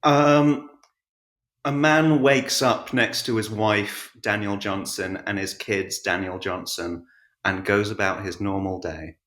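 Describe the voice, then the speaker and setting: A man's voice: lowish voice, steady tone, slow pace, fairly bright tone, roomy sound. A man chatting casually as an interview guest, with a hesitant, self-effacing way of talking. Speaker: podcast guest, writer and voice actor.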